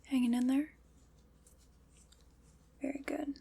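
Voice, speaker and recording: A woman whispering breathily in an ASMR roleplay, soft, unhurried, formal and reassuring. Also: high-pitched, steady tone, gravelly, slight background noise.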